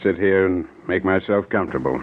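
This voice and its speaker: A man's voice: deep voice, fairly quick, lightly acted. An actor playing a mild, soothing, slightly hesitant male doctor in a 1940s radio drama. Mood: calm, soothing. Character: calm, accommodating male hospital doctor. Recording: scratchy narrow-band 1940s radio recording.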